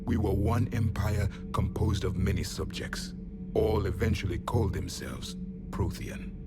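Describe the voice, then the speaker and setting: Loud music plays under a very low male voice. It is a grim, imperious alien soldier from a video game, performed by a man, making solemn and blunt pronouncements with the pride of a fallen empire's last survivor. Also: unhurried, close to an everyday voice. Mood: solemn, proud. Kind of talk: dramatic video game acting. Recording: dramatic music behind the voice.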